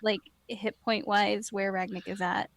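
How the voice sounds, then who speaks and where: A woman playing a tabletop role-playing game, hesitant and giggly, often pausing with "like" as she asks about her options. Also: medium pitch, flat delivery, gravelly, dry recording. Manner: giggly, hesitant, lots of likes. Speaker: player. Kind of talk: casual tabletop game play.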